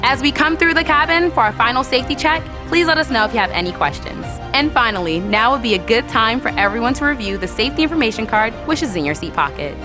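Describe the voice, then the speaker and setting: A woman's voice: fairly high pitch, expressive, fast, few pauses. A woman narrating scripted airline safety instructions. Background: music.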